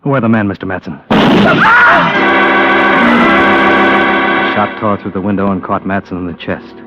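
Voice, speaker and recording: An actor playing a tough, principled American investigator in a vintage radio drama, speaking in a terse, firm, wry manner. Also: male voice, low voice, fairly even intonation, quick pace, natural voice. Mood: dry narration. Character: tough, principled male insurance investigator, hard-boiled and resolute. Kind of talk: 1940s-50s radio drama acting and narration. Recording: dull old radio recording, music behind.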